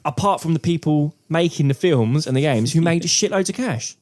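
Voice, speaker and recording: A man's voice: on the high side, lively intonation, moderate pace, darkish tone. A man chatting casually as a podcast co-host. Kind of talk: casual chat.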